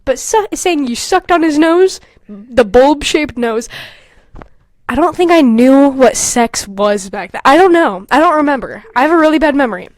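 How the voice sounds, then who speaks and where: A woman's voice: sits high, lively intonation, normal speed, no room sound. A woman podcast host reading her own fanfiction aloud, breaking off into candid, self-mocking, casual asides with plenty of swearing.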